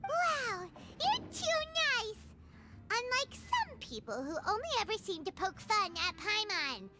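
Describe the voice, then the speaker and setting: A woman voicing a tiny, excitable childlike fairy girl companion in a game, squeaky and exaggerated. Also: slow, very noisy, heavily acted. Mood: flattered, huffy. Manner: speaks of self in third person, exaggerated. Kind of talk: game character voice acting. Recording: loud game music behind.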